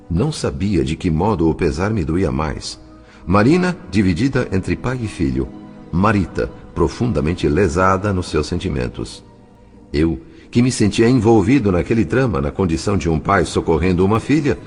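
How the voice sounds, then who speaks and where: A man narrating a scripted audio drama in a formal, solemn, literary manner. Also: low-pitched. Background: music.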